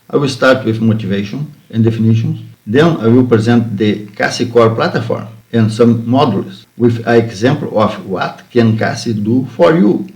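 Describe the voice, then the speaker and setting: A man with a Brazilian Portuguese accent gives a prepared academic presentation for a virtual meeting. His voice sits at an ordinary middle pitch, and he reads in careful, measured English that sounds slightly halting. It sounds like a home computer recording. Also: a little mellow, low-quality recording. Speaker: university researcher presenting. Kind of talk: prepared conference talk.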